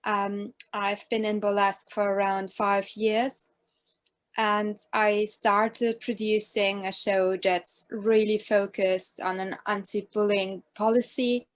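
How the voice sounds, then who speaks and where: A woman's voice: mid-range voice, level tone, slow. A woman from Austria, introducing herself on a panel with hesitant repeats. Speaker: panelist, show producer.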